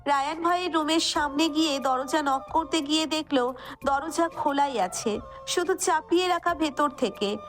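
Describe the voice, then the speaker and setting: A woman's voice: high voice, normal speed, fairly bright tone, faint music behind. A woman narrator reading a scripted romantic story.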